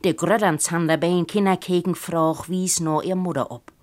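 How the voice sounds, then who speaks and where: A woman with a northern German, Low German accent reading a humorous story aloud in an expressive, acted-out manner. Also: normal speed. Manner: animated, acts out characters' speech.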